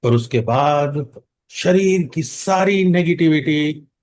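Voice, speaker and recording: Indian guy doing guided meditation, super slow calm instructions, lots of pauses, voice a bit on the high side. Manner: calm, instructive, long pauses between short phrases. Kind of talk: guided meditation, mixing Hindi and English.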